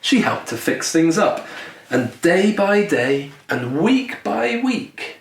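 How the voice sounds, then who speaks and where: Male storyteller for a picture-book read-aloud: unhurried, lively intonation, deliberate pauses, recorded in a roomy space. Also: high-pitched, vocal fry. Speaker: children's author reading own book aloud. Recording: roomy echo, distant mic, faint noise.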